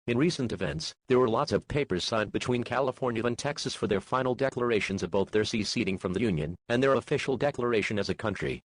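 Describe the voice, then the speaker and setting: A man acting as a stern official emergency announcer, quick and formal. His voice sits at a middle pitch and is recorded close and dry as he gives scripted instructions. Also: dry recording, natural voice, poor audio.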